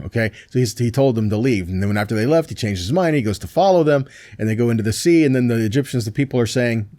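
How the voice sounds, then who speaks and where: Man, mid-pitched voice, preacher, lively intonation, instructive Bible-teaching delivery, frequent short check-in questions. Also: no room sound, clean studio sound. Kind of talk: sermon, scripture teaching with readings.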